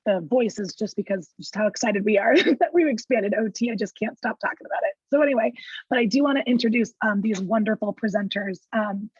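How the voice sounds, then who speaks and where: A woman's voice: mid-range voice, a little fast, husky, dry recording. Woman w a scratchy lost voice on a muffled video call, lots of ums, dry sound. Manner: many ums and uhs, warm thanks. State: hoarse, lost voice. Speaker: clinical director, webinar presenter. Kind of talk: webinar introduction.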